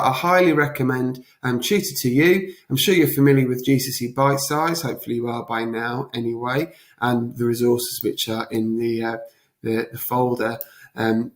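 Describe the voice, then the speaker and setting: A man giving an explanatory revision lesson as a teacher, talking in a deliberate, emphatic way with rhetorical check-ins. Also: mid-range voice. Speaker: history teacher. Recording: echoey, roomy sound.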